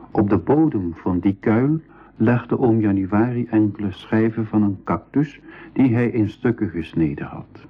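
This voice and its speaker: An actor playing a thoughtful nephew who narrates his world journey in a measured way, with a Belgian Dutch accent, in a school radio play. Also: on the low side, husky. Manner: measured, storytelling. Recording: phone-like, narrow 1980s radio recording.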